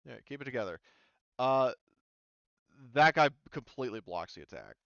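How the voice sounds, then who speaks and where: A man's voice: medium pitch, animated, moderate pace, vocal fry, fairly bright tone, clear, resonant tone, dry recording, highs cut off. A man running a tabletop roleplaying game as game master, casually explaining rules.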